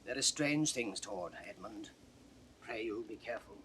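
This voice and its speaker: A man's voice: fairly low pitch, expressive, moderate pace, background noise, close to an everyday voice. An actor playing a troubled, loyal old nobleman, urgent and confiding, in classical Shakespearean audio drama style. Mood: resolute, anxious.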